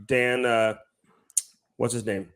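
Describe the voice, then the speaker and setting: A man's voice: mid-range voice, steady tone, slow, fairly bright tone, clean tone. A man speaking as a podcast co-host in an ordinary mid-range voice. His talk is loose, slangy and bantering, like chatting with buddies. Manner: slangy, says "dude" and "man" often. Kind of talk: casual bantering podcast chat.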